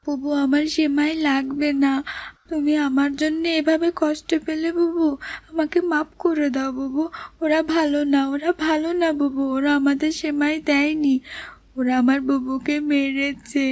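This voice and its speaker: A woman's voice: sits high, flat delivery, normal speed, darkish tone, no room sound. A woman voicing a hungry little boy in a cartoon drama, childlike, insistent and whiny. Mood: upset, tender. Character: hungry little brother, whiny, stubborn, tender-hearted.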